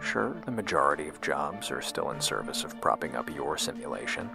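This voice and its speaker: An embittered scientist in a fiction podcast, with a very low voice. He talks in an intense, confiding way with a sardonic edge, over faint music. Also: big pitch swings, a little fast, vocal fry, full character voice. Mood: smug, explaining. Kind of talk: audio drama dialogue and narration.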